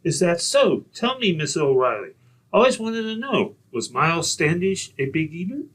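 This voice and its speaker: A man's voice: a little high, sing-song, normal speed, a bit bright. A man playing a needling music professor, dry and mock-polite, in old-time radio comedy style. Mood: sly, teasing.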